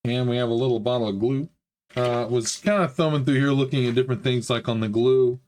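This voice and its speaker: A man hosting a hobby model-building channel, talking in a casual, rambling way with frequent fillers, his voice slightly hoarse. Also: mid-range voice, fairly quick, a little mellow. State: slightly hoarse, recovering from a chest illness. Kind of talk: casual build vlog narration.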